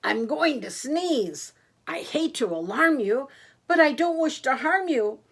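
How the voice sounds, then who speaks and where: Woman voices a gentle storybook elephant, unhurried and apologetic. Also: sits high, expressive, full character voice. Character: polite, apologetic giant elephant about to sneeze.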